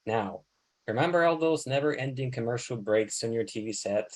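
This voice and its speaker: A man's voice: mid-range voice, animated, normal speed, poor audio. A man hosting a podcast, introducing the topic and interviewing a guest. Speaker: podcast host.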